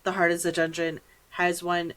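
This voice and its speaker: A woman's voice: lowish voice, monotone, moderate pace, a bit bright, poor audio. A woman hosting a podcast in a casual, conversational interview style, acting out little asides as she talks. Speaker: podcast host.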